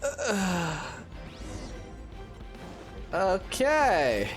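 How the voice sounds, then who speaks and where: Slow-paced, expressive male gamer. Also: sits high. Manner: short muttered reactions. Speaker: gaming video host. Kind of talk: casual playthrough commentary. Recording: game music behind the voice.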